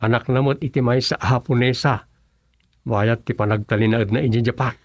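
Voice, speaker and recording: An actor playing an earnest, insistent teller of absurd tall tales in a comic Ilocano radio drama, with an Ilocano accent. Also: male voice, mid-range voice, steady tone, normal speed, no room sound, studio quality, lightly acted. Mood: earnest boasting.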